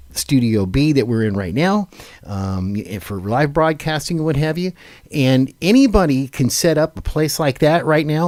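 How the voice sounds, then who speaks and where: A man's voice: medium pitch, no room sound, clean studio sound. A man hosting a casual live panel chat, rambling and thinking aloud as he puts questions to the others. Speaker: radio show host. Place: radio studio.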